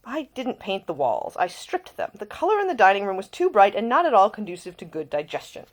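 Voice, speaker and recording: A woman's voice: medium pitch, huge pitch swings, brisk, pitched above the performer's own voice. A woman voicing a jaded young male earl in an audiobook, curt, dry and guarded in his answers. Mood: dry, defensive.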